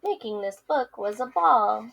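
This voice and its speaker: A woman speaks as a teacher reading aloud to young children. Her voice sits fairly high and she goes very slowly, leaving space between phrases. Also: animated, vocal fry, fairly bright tone, muffled recording, a little hiss or hum.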